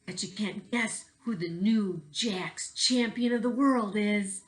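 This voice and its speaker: A woman's voice: medium pitch, slow, pitched above the performer's own voice, natural voice. A woman voicing a friendly, hopeful young new girl at school in a storybook.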